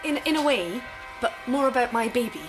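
A woman's voice: on the high side, animated, a little fast, over music, close to an everyday voice. A woman playing an anxious, grieving wife seeking a detective's help, hesitant and apologetic, in an audio drama.

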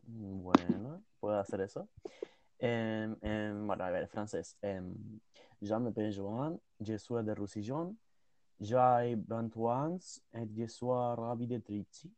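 A young tour guide with a lowish, close and dry voice, proud of being a polyglot, as a man puts him on. He talks with a hesitant, searching pace, full of filler sounds. The interview is role-played. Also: slow pace, darkish tone, no room sound. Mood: earnest. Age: 20s. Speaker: mock interviewee.

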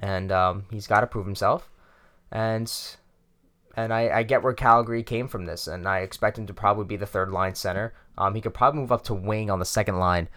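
Low-voiced man, easygoing sports co-host. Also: steady tone, fairly quick, a bit bright. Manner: agreeable, filler-heavy, repeats himself. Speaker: podcast co-host. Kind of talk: casual sports chat.

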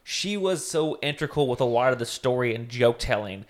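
A man chatting on a podcast with a lively, sing-song intonation that rises and falls a lot. He teases his co-host and gives his opinions in a relaxed, conversational way. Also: mid-range voice, normal speed. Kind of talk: casual chat reviewing a TV show.

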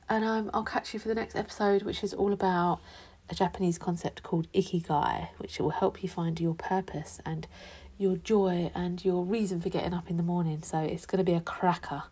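A woman with a stuffy, nasal voice chats casually through a tarot reading, affectionate and easygoing, now and then slipping into a whisper. Her tone is low, husky and mellow, and there is no studio polish to it. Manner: chatty, affectionate, calls listeners love and darling; drops to a whisper at times. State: stuffy, blocked nose. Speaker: podcast host giving tarot readings. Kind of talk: casual unedited podcast chat. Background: card shuffling.

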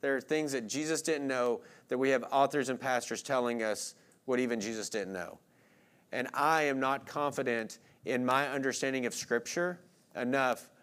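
A man, preaching an expository sermon in an informal, self-deprecating way with rhetorical questions to listeners. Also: medium pitch. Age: late 30s. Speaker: pastor preaching.

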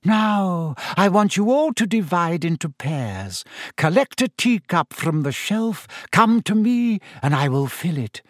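A man putting on a soft misty old seer woman. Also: sits high, sing-song, no room sound, studio quality, voice pushed up. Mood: mystical. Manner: slow, soft, drawn-out delivery.

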